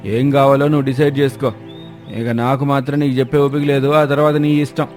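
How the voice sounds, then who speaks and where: A man's voice: medium pitch, little pitch movement, brisk, darkish tone, soft background music, natural voice. A man playing a firm, fair-minded village shop owner with an admonishing manner in a cartoon moral story. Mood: restrained anger.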